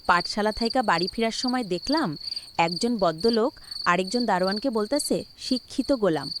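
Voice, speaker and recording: A woman's voice: mid-range voice, animated, normal speed, close to an everyday voice. A woman voicing a curious little village schoolgirl in a cartoon, earnest and inquisitive, over background noise.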